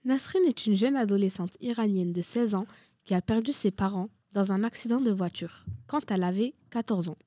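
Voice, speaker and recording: A woman narrates a radio story from a script. Her voice is soft-edged and mellow, recorded in a dry space. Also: medium pitch, normal speed, no echo.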